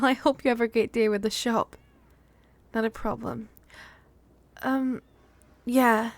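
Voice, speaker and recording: Woman acting as tender young woman, high-pitched, slow pace, soft hesitant delivery, whispered asides, improvised ASMR roleplay. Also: no echo. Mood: friendly, hesitant. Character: young woman nervously leaving her hometown, tender and excitable.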